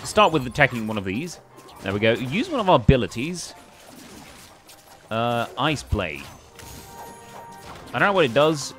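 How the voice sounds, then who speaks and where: A man's voice: mid-range voice, sing-song, a little slow, pauses often, a bit bright. A man hosting a let's play, commenting casually in a hesitant, rambling way. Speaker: gaming video host. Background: faint game music.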